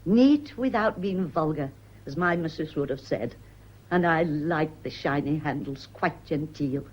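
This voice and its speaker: A woman's voice: deep voice, animated, a little slow, a little mellow, slightly rough, exaggerated voice. An actress playing a devoted, prying woman servant, deferential yet pointed, in a period radio mystery. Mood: mournful, sentimental. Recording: dull, muffled old radio recording.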